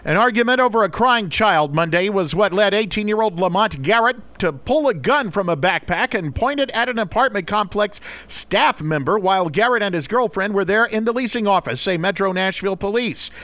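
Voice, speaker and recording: A man's voice: sits high, expressive, a little fast, pushed and bright, no room sound. A man reading scripted radio news as the anchor.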